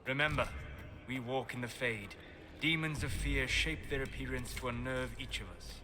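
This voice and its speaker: An actor playing a calm, grave scholar of spirits in a video game, explaining things in a measured way. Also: male voice, mid-range voice, music in the background, natural voice.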